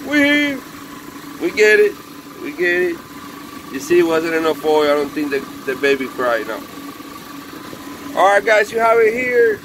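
A man's voice: high-pitched, fairly bright tone, rough recording. A man with a Spanish accent, a native Spanish speaker, chatting casually on a phone camera in his workshop as he shows a broken chainsaw to the customers of his parts store. He talks to the machine as if it were a pet. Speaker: eBay parts seller. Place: cluttered workshop indoors. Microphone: phone camera held close. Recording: phone recording with clear engine noise. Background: engine and machine noise.

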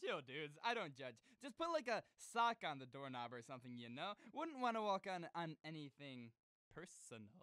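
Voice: mid-range voice, very animated, moderate pace, fairly bright tone, clean tone, no echo. An actor playing a goofy, chatty astronaut crewmate who rambles in casual slang in a comic audio drama. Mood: teasing.

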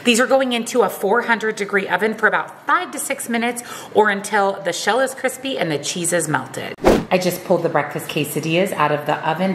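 A woman's voice: medium pitch, echoey room, a little hiss or hum. A woman talks through a meal prep recipe in an upbeat, step-by-step way. Her voice carries the hollow ring of a home kitchen, with faint sounds behind her. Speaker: cooking vlogger.

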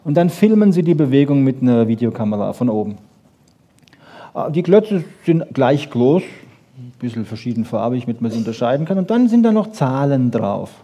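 Male lecturer, mid pitch, raspy edge, conversational explaining, rhetorical questions. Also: very animated, moderate pace, dark tone. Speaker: lecturer on brain research. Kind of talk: animated informal lecture to an audience.

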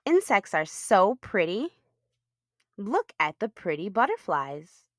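A woman's voice: medium pitch, sing-song, takes it slowly, no room sound, studio quality. A woman hosting a children's read-aloud, talking to young listeners in simple, explanatory language.

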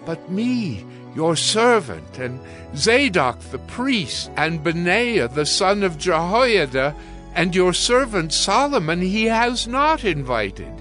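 Earnest prophet, forceful and slow with big swoops in pitch, biblical audio drama. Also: male voice, big pitch swings, soft background music, heavily acted. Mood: indignant, pleading. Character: earnest male prophet pleading before his king. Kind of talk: dramatized audio Bible acting.

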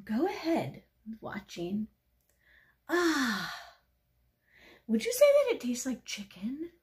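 A small, inquisitive duckling from a children's storybook, voiced by a woman. The little pest sounds high and lilting, questioning with mock innocence and a very lively melody. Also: slow, full character voice. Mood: mock innocent. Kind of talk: children's picture-book character voice.

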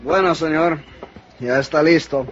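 A man acting an earnest Mexican police captain who speaks halting, accented English mixed with Spanish. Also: a little high, faint music behind, lightly acted. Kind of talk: 1950s radio acting. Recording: muffled old radio recording.

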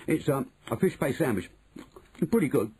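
A man voicing a loud, greedy, indignant boy who has been turned into a mouse, in a storybook reading. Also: medium pitch, very animated, moderate pace, breathy edge, pitched above the performer's own voice. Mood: offhand, munching. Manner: blustering, loud-mouthed, outraged exclamations.

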